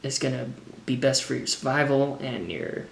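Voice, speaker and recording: An American man in his thirties talking casually and unscripted, thinking through his answers as a drummer with frequent halting pauses, recorded indoors at a table. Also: mid-range voice, creaky, a little hiss or hum. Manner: halting, thoughtful, pausing to formulate answers. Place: indoors, open kitchen-dining room at a table. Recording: echoey room.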